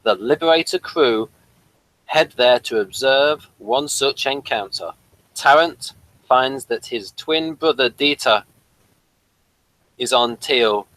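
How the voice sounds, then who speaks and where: A man's voice: medium pitch, slow, long pauses, a bit bright, low-quality recording. A man hosting a casual, playful chat review of a TV episode. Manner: playful, bantering. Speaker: podcast host.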